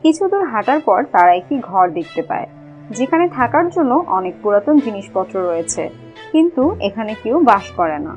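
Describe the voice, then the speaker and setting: A woman's voice: medium pitch, big pitch swings, a little fast, darkish tone, soft background music, natural voice, poor audio. A woman narrating a scripted fairy-tale cartoon as a storyteller.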